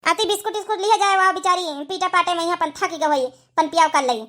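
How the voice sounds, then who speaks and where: A woman's voice: very high voice, fairly even intonation, fast talker, bright, forceful tone. A woman voicing a defiant young village daughter-in-law in a cartoon comedy, whining and arguing with an Awadhi accent. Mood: whining, resentful. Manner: whining, argumentative, nagging. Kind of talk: comic cartoon skit.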